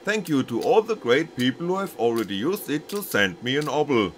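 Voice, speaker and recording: A man's voice: medium pitch, sing-song, fairly quick, a little hiss or hum. A man reading scripted technical narration as a maker presenting his own project.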